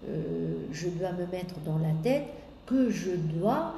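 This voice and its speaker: A woman business coach giving a sales coaching talk, pausing often on drawn-out fillers and listing points in repetition. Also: lowish voice, lively intonation, creaky, mellow. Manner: hesitant, many drawn-out "euh" fillers, repetitive lists. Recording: echoey room with faint background noise.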